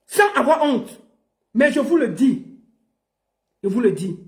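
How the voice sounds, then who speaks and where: A man speaking as a political commentator, pleading and repeating himself, and rising to shouts. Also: extremely high pitch, big pitch swings, slow. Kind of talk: impassioned political monologue. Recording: roomy echo.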